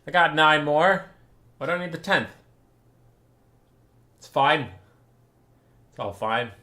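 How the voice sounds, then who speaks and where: A man's voice: a little high, expressive, slow pace, sharp tone. A man hosting a game tournament stream, chatting casually in a rambling, wry, self-mocking way and swearing offhand. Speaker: stream host and tournament caster. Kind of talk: casual livestream chat before casting. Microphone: desk mic, camera off.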